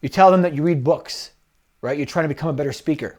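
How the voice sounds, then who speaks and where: A man with a slightly raised pitch coaches a client through job-interview answers. He talks in firm, repeated instructions with quick asides. The sound comes through a video call. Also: darkish tone. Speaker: career coach.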